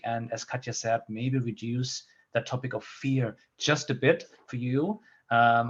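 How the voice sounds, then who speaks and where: A man's voice: mid-range voice, lo-fi sound. A man with a German accent, a therapist and coach, introducing himself in an upbeat, chatty way on an online panel. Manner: upbeat, chatty, self-deprecating. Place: home room.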